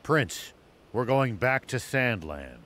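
A man's voice: medium pitch, big pitch swings, slow, a bit bright. A man playing a cautious, resolute old soldier in a video game, firm and serious.

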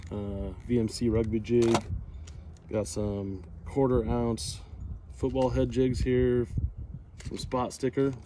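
A man, hosting a casual fishing vlog, talking in a relaxed, rambling way with many fillers, recorded outdoors on a phone held at arm's length. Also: lowish voice. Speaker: fishing channel host. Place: outdoors in a driveway by a boat. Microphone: phone camera at arm's length. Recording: outdoor recording with noticeable noise.

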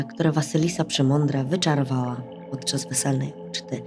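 Kobieta opowiadająca bajkę, muzyka w tle. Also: female voice, deep voice. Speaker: storyteller. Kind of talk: expressive bedtime fairy-tale narration. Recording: music under the voice.

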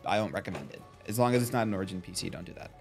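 A man's voice: sits low, expressive, racing, vocal fry, over music. A man in his twenties co-hosting a livestream from a studio, teasing and laughing often while he talks into a headset mic. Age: 20s. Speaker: co-host of a livestream. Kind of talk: casual joking banter. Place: studio with a gaming chair.

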